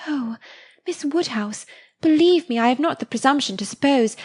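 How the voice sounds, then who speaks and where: A woman's voice: high-pitched, lively intonation, normal speed, voice pushed up. A woman voicing a timid, sentimental young woman who speaks in an eager, breathless way, in a storybook reading. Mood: gushing.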